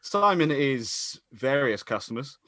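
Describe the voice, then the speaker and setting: A man's voice: medium pitch, big pitch swings, takes it slowly, a bit bright, no echo, studio quality. A man informally introducing a cast at an online event, in a casual, slightly hesitant way.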